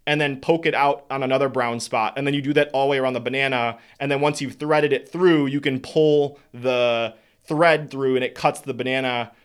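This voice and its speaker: A man's voice: mid-range voice, fairly even intonation, moderate pace, clear, resonant tone. A young adult man speaking earnestly and reflectively to his audience, sharing lessons he learned, sometimes stopping to correct himself. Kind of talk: casual talk to an audience.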